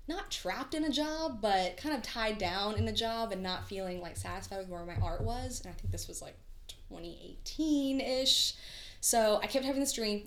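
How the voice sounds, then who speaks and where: A woman guest artist telling stories in a casual, enthusiastic podcast conversation. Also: medium pitch. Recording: roomy, echoey sound.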